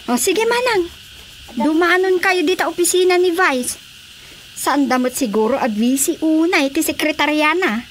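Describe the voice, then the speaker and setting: Woman acting a squeaky-high, gossipy Filipina auntie. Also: animated, normal speed, a little hiss or hum. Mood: chirpy, sly. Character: nosy, teasing gossipy auntie. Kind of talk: Filipino radio drama comedy acting.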